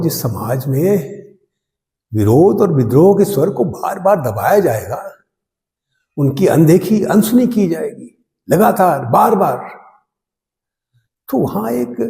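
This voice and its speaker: In a reverberant room, a male actor plays an impassioned army defence lawyer with a high, mellow voice. He delivers a theatrical courtroom monologue with bitter irony, repeating phrases for emphasis and pausing dramatically. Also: sing-song, vocal fry, heavily acted. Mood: indignant. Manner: oratorical, emphatic repetition, dramatic pauses. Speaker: actor performing an audition monologue.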